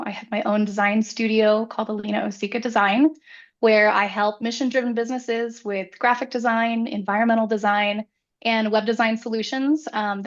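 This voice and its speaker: A woman with a scratchy voice, getting over a cold, introducing herself on a video call. Her audio is dull and muffled, and she hesitates often with soft ums. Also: mid-range voice. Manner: frequent "um" hesitations. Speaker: graphic designer guest.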